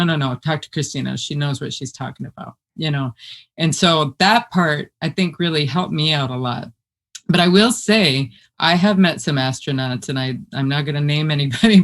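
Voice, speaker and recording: An American woman with a deep voice, a podcast guest chatting casually and telling stories with frequent laughter. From United States. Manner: animated storyteller who laughs often. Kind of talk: casual conversation.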